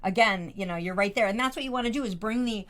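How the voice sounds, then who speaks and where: A woman's voice: medium pitch, quick pace, a bit bright. A woman hosting an interview podcast, encouraging her guest with frequent affirmations. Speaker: podcast host. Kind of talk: casual interview chat.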